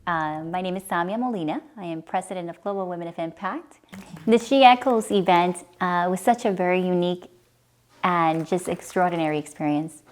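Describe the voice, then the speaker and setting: A woman's voice: on the low side, brisk, a little hiss or hum. A woman giving a personal interview as president of an organization.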